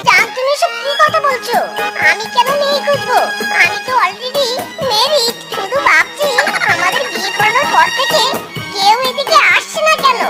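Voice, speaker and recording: Cartoon fox thief, male, extremely high voice, boastful and wheedling, exclamatory delivery, loud music underneath. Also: animated, normal speed. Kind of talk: Bangla children's cartoon voice acting. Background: children's music.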